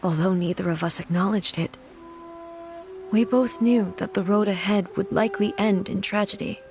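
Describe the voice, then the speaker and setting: A woman voicing a calm, regal woman ruler in a video game, speaking in a measured, formal and quietly grave way. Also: medium pitch, faint music behind, natural voice.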